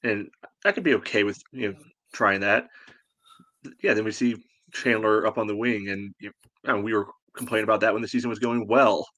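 A man's voice: fairly low pitch, brisk, bright, forceful tone, dry recording. A man co-hosting a podcast in casual sports talk, thinking aloud and hedging as he goes. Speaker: podcast co-host.

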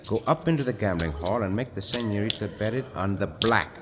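A man playing a gallant, calm caballero hero in an old radio drama, speaking formal English with Spanish words. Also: fairly low pitch, brisk, lightly acted. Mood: determined. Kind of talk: 1950s radio drama acting. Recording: noisy old radio recording.

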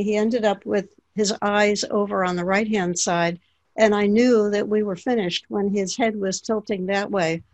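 A woman interviewer with an average pitch asks her guest questions in a steady, measured way. She speaks in full, even sentences. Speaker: interviewer, ghostwriter. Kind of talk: conversational interview.

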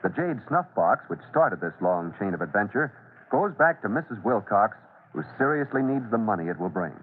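Husky radio announcer, vintage sound. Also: male voice, fairly low pitch, sing-song, moderate pace. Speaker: announcer and narrator. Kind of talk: dramatic old-time radio narration. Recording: tinny old radio recording.

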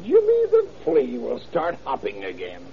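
An actor playing a cheerful, cocky old career forger with a creaky voice, boastful and mock-dignified, in classic radio crime drama style. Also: male voice, on the high side, wildly swooping pitch, unhurried, exaggerated voice. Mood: cocky, gleeful. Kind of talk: 1940s-50s radio crime drama acting. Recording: muffled old radio recording, crackly background din.

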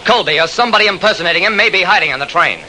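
An actor playing a brisk, methodical railroad detective who narrates his case in an emphatic old-time radio mystery style. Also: high-pitched, lively intonation. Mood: probing, brisk. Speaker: narrator and lead investigator. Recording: noisy old radio drama recording.

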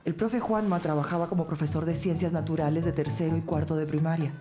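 Woman doing an imposing school principal lady, polite, deep voice, audio drama w music under it. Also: steady tone, quick pace, warm tone, close to an everyday voice. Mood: courteous, regretful. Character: imposing female primary school principal, courteous. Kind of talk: scripted audio drama dialogue. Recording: music playing behind the voice.

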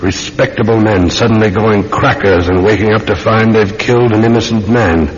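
An actor playing a polite, methodical London police detective with a British accent, deferential and musing in an old-time radio drama. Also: male voice, lowish voice, moderate pace, slightly rough. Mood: calm, probing. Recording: dull, muffled classic radio recording.

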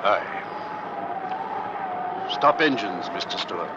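An actor playing a resolute, authoritative sea captain in an old-time radio drama. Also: male voice, low voice, lively intonation. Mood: tense, grim. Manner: brisk, authoritative. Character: commanding, resolute male sea captain of an Arctic expedition. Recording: dull, noisy vintage radio recording.

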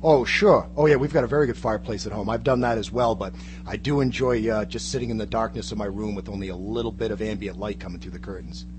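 A man's voice: fairly low pitch, fast talker. A man chatting casually as a co-host and radio fan in a studio. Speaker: guest co-host, old-time radio fan. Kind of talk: casual chat. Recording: clear hum and background noise.

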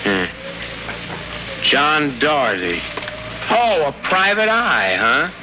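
A sneering wise-guy hearse driver, his voice lively and a bit high as he talks back brashly. The old radio broadcast carries clear noise. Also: takes it slowly, exaggerated voice. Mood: surly.

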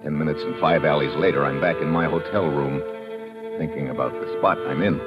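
A man's voice: extremely low pitch, a little fast, muffled recording. A man with an American accent playing a tough, wry agent, terse and slangy, narrating an old radio crime drama. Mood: wry, tense narration. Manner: hard-boiled, terse, slangy. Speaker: lead actor and narrator. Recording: band-limited old radio recording, dramatic music.

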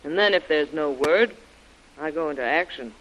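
An actor playing a self-assured, seasoned adventurer in an old-time radio drama, confident and unhurried. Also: male voice, fairly high pitch, animated, a bit bright. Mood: calm, confident. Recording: dull old radio broadcast.

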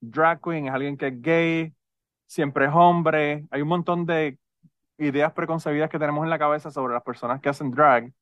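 A man's voice: fairly high pitch, steady tone, moderate pace, fairly bright tone, dry recording, highs cut off. Chatty Puerto Rican man hosting a podcast. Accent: Puerto Rican Spanish. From Puerto Rico. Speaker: podcast host. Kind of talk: casual conversational interview.

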